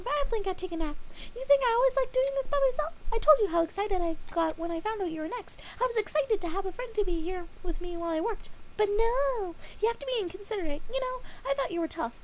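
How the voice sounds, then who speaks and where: A woman doing a shrill, peppy cruel pony girl. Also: a little hiss or hum, rough recording. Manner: high sing-song scolding, peppy. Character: cheerful but cruel young female pink pony.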